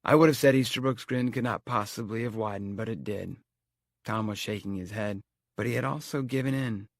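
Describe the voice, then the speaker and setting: A man's voice: lowish voice, brisk, creaky, dry recording, studio quality. A man reading a first-person story as an audiobook, in a reflective storytelling manner. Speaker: audiobook narrator. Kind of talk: scripted audiobook narration.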